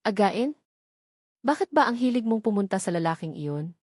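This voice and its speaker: A woman's voice: mid-range voice, sing-song, moderate pace, darkish tone, no room sound, studio quality. A woman with a Filipino accent voicing an angry, jealous, domineering man in a novel reading. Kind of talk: dramatized novel dialogue.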